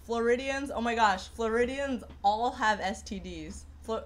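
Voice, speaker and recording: A woman's voice: on the high side, unhurried. A young woman hosting a casual livestream, rambling through viewer comments with lots of fillers and restarts. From Persian heritage. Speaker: livestream host.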